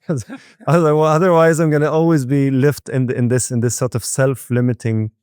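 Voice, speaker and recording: Male interview host, soft dark tone, dry sound, effusive conversational delivery with frequent rephrasing. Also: mid-range voice, level tone, fairly quick, smooth, no echo. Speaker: podcast host.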